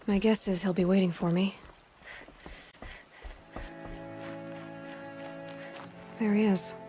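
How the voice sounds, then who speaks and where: An actress playing a headstrong young outcast huntress in a video game, wry and questioning. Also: female voice, medium pitch, steady tone, quick pace, warm tone, lightly acted. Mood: musing. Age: young adult. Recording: music under the voice. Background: music.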